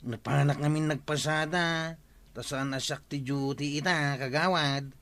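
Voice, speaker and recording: Village watchman, slightly high voice, Ilocano accent, earnest and easygoing radio-drama delivery. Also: steady tone, unhurried, a bit bright, dry recording.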